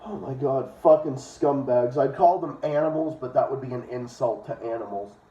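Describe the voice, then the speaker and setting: A man's voice: medium pitch, moderate pace, warm tone. A man casually reading a book aloud and breaking into blunt, profane, opinionated commentary. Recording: dull, echoey room, distant from mic.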